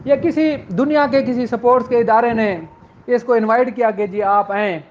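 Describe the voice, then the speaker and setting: A man's voice: very high voice, fairly even intonation, normal speed. A man giving an earnest motivational law lecture, addressing his listeners as sisters and brothers. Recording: dull audio with faint background noise.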